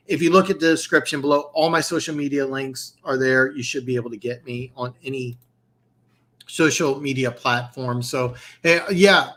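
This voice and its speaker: A man hosting a live sports-betting stream with a middle-pitched voice that is starting to go. He talks casually, trips over restarts and fills the gaps with fillers. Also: fairly bright tone. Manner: rambling, many restarts and fillers. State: losing his voice. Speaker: host of a football picks show.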